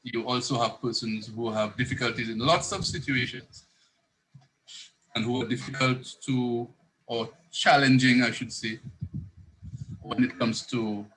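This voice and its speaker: Male psychiatrist in his thirties, bright voice, careful and self-correcting. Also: mid-range voice, low-quality recording. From Saint Lucia. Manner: earnest, self-correcting, rephrases as he goes. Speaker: psychiatrist guest. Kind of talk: explanatory interview talk.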